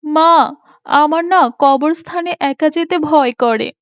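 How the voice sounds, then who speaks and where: Woman voicing a high-pitched, eager little girl. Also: takes it slowly, dry recording. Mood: curious. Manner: childlike, insistent, asking questions of her mother. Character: eager, pious little girl, innocent and earnest. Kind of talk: Islamic moral cartoon voice acting. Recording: muffled, with music behind.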